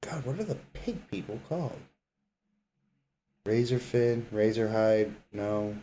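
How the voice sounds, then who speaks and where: A man with a lowish, dark and relaxed voice. He banters casually as a podcast co-host, talking bluntly in slang with plenty of swearing. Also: takes it slowly, slight background noise. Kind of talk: casual banter about game sounds.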